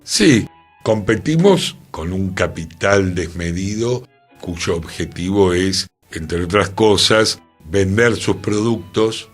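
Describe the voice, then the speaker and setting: A man, co-hosting a radio show with reflective commentary and repeating phrases for emphasis. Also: low-pitched. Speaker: radio co-host. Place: radio studio.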